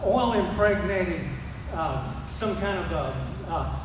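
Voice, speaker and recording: A man's voice: high-pitched, lively intonation. Older man telling stories in an echoey hall. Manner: rambling, halting, with many fillers and restarts. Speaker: speaker at a ceremony, brother of the honoree. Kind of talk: casual anecdotal storytelling. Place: museum event hall. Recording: echoey hall, from far off, loud room noise.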